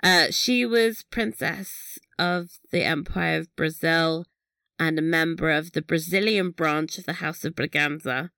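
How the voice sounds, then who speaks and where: Bright, giggly woman podcast host. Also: sits low, measured pace, creaky, dry recording. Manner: chatty, giggly, swears casually. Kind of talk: casual recap chat.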